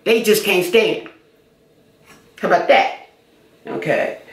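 A woman's voice: mid-range voice, slow, echoey room. A middle-aged woman chatting casually while doing her makeup for a beauty vlog, repeating herself as she goes. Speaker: beauty vlogger. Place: small room indoors.

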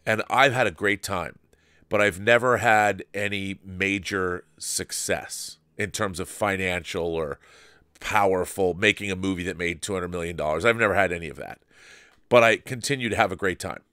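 A man's voice: sits low, moderate pace, pushed and bright, clean tone, dry recording. An older man hosting an interview and talking reflectively in a home studio, using a large studio mic. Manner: reflective, rambling. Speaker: host. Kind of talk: conversational interview. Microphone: large studio mic with headphones.

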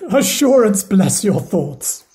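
A woman playing a loyal, sorrowful household steward in a Shakespeare audio play, speaking the verse in an earnest, measured way. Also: medium pitch, sing-song, warm tone. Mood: lamenting.

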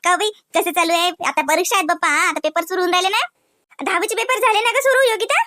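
A woman's voice: very high-pitched, quick pace, pushed and bright. A woman voicing a doting, playful village auntie in a cartoon, cooing in baby talk. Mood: chatty. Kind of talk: cartoon comedy dialogue.

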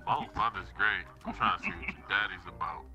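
Brief: low-voiced man, animated, crude taunts over voice chat, game music loud. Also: a little fast. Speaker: online teammate. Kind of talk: trash-talking game chat.

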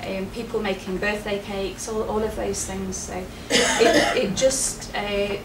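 A woman's voice: mid-range voice, steady tone, unhurried. A middle-aged woman giving a chatty presentation to a room, laughing often. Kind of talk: public presentation. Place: wood-panelled meeting room with projector. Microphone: far camera, no mic. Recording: echoey room, distant camera, noisy.

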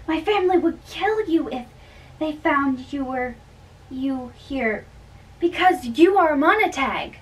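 A woman plays a worried, bashful young noblewoman in love. The voice is high and girlish, in an amateur play reading recorded at a distance from the microphone. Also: lively intonation, unhurried. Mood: alarmed wonder. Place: small bedroom, indoors. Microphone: far camera.

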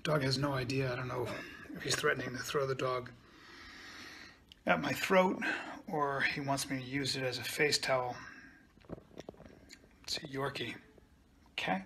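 A man's voice: medium pitch, normal speed, pauses often, pushed and bright. A man in his fifties filming on his phone, mock-outraged and exasperated at a friend. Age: 50s. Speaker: comedian. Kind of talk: casual phone video. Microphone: phone camera held close. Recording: noisy phone video.

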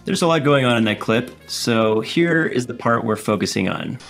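A man's voice: mid-range voice, animated, normal speed, vocal fry, soft background music. A man in his thirties hosting a scripted game show in a playful, punning manner.

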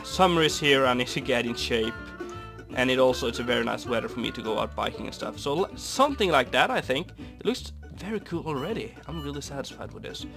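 A young man from Norway, raspy and stuffy from a cold, rambling easily with many fillers while commenting on a building game. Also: mid-range voice. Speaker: Minecraft builder. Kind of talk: casual gameplay commentary. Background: soft guitar music.

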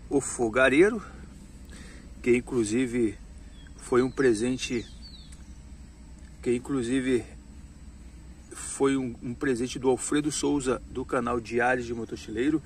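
A man in his thirties showing his gear outdoors in a casual vlog, talking slowly and repeating himself to viewers. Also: medium pitch, a little hiss or hum. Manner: slow, repetitive, chatty, addressing viewers as "pessoal". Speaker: motorcycle travel vlogger. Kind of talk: casual show-and-tell vlog. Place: outdoors by a roadside. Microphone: action camera on the ground.

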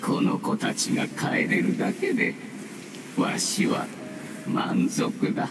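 An actor playing an aged, dying mushroom spirit in a game, solemn and humble, with grave, halting, old-fashioned elder speech. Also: male voice, heavy background noise, natural voice. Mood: gentle, grateful. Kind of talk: scripted game dialogue. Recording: roomy echo, distant, under loud music.

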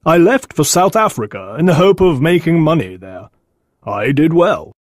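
An actor playing a big, lovelorn, anguished gentleman in a dramatised story. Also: on the high side, very animated, moderate pace, no room sound, clean studio sound. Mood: wistful, regretful.

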